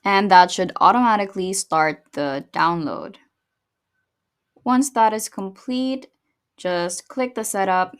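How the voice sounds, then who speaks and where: A woman with a slightly low voice narrates a how-to tutorial. She talks through each step in a casual, instructional style, as the host of the video.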